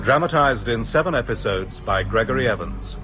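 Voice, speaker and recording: A male announcer delivers a scripted drama introduction. His mid-pitched voice moves expressively, with prominent music underneath. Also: lively intonation, a little fast. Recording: loud dramatic music behind voice.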